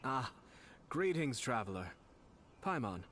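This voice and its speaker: A man's voice: medium pitch, sing-song, slow, a bit bright, a little hiss or hum. A man playing a composed, gracious young lord in a video game, with refined and formal speech.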